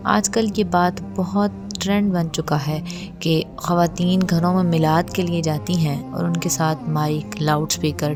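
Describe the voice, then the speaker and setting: A woman giving a religious explainer talk in a didactic, admonishing manner. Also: lowish voice. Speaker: religious lecturer. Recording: clear music behind the voice. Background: background music.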